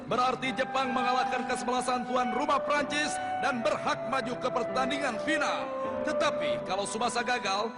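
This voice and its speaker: Cartoon match commentator, very high pitch, excitable, play-by-play delivery, long reverb, loud music behind. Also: male voice. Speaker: sports commentator.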